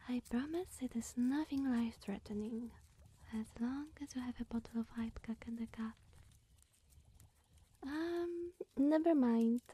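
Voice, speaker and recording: A woman playing a lonely, awkward immortal witch in an ASMR roleplay, speaking in a breathy, whispery, hesitant and coy way. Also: a little high, warm tone, no echo.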